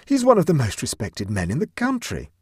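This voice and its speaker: A man voicing a flustered, indignant middle-aged gentleman who gasps and protests in a high, panicky voice. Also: wildly swooping pitch, fairly quick, breathy edge, no echo, clean studio sound, exaggerated voice. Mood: indignant, panicked. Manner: high-pitched, gasping and panicky.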